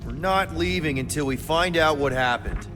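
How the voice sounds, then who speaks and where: An actor playing an easygoing, boyish space crew leader in a video game, casual, slangy and expressive. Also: male voice, fairly high pitch, normal speed, big-room sound, music in the background, close to an everyday voice. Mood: determined. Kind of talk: video game character acting.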